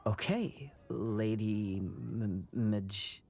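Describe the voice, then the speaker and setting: An actor playing a gentle young wandering swordsman in a video game, calm, polite and poetic. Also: on the low side, extremely slow, creaky, husky, full character voice. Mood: hesitant. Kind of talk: video game character acting. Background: music.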